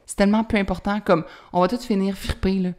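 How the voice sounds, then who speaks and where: A woman's voice: mid-range voice, fairly even intonation, fast, a little mellow. A woman in her thirties with a Quebec French accent, hosting a solo podcast in a casual, chatty way full of fillers and English slang. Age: 30s. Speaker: podcast host.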